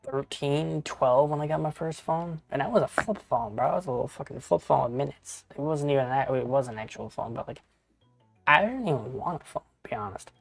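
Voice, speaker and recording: A man's voice: mid-range voice, fairly quick, vocal fry, dry recording, faint music behind. A man in his thirties chatting casually with viewers while playing a game on a live stream, rambling and swearing, often calling people bro and dude. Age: 30s.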